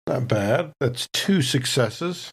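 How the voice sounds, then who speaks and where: A man's voice: mid-range voice, lively intonation, slow pace, slightly rough. A man playing a tabletop roleplaying game, casually narrating his character's moves and talking through the rules.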